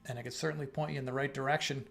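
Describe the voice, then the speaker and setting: A man's voice: mid-range voice, fairly even intonation, rapid-fire, gravelly, soft background music. A middle-aged man hosting a live trading stream, answering viewer questions in a casual, rambling way with fillers and restarts, losing his voice a bit. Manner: conversational, thinking aloud with fillers and restarts. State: losing his voice, clearing throat. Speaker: host of live trading room.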